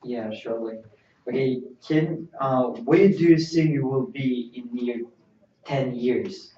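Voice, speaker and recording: A man's voice: mid-range voice, slow, warm tone. A man playing an interviewer in a mock job interview, asking simple questions in non-native English. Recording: distant, roomy, dull lo-fi sound.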